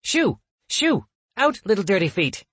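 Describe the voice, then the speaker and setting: A prim little robot drone, pitched high and swooping up and down. It is fussy, eager to help and pleased with itself, speaking in formal, polite phrases in a science fiction audiobook. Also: male voice, big pitch swings, unhurried, fairly bright tone, breathy edge, no room sound, heavily acted. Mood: indignant.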